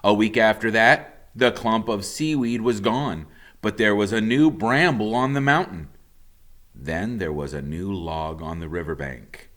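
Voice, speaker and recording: A man reading a children's storybook aloud expressively, with deliberate dramatic emphasis and hushed drops. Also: on the low side.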